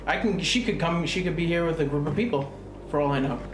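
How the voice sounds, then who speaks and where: Man, 30s, slightly higher voice; halting, evasive answers; roomy clip audio. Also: a little hiss or hum. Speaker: interviewee under confrontation. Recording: echoey room from broadcast clip with faint music.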